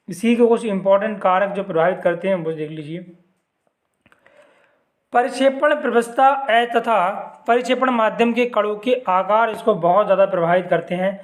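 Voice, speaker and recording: High-voiced male teacher with sore throat. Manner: repeats points as questions and answers them himself, adds "ji" to sentences. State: sore throat, clearing it often. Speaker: chemistry teacher. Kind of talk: exam-prep lesson explaining diagrams.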